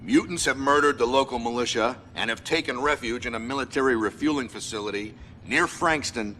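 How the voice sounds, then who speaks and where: An actor playing a stern, authoritative military commander who gives clipped, formal mission briefings in a video game. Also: male voice, medium pitch, fairly quick, sharp tone, in a large hall, slight background noise, natural voice.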